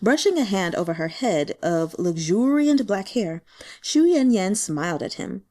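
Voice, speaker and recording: Female audiobook narrator: expressive storytelling, mellow tone, lively pitch movement. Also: unhurried.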